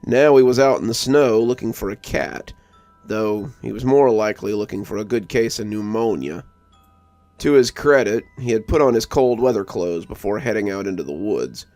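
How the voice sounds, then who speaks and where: A man's voice: fairly low pitch, moderate pace, a little mellow, no echo. A man reading a scripted story as a narrator. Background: music under the voice.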